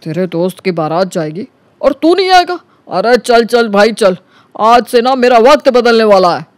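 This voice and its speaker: A man playing a cunning, smooth-talking young schemer in an animated story, with a colloquial, teasing way of talking. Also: very high-pitched, moderate pace, no room sound. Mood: taunting. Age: young adult. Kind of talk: Hindi animated story dialogue.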